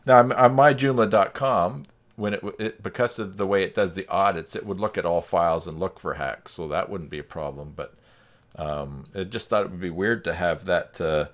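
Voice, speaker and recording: A man's voice: low voice, moderate pace. A man chatting casually on an online video call, in a slightly hesitant, rambling way. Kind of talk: casual video call chat about web tools.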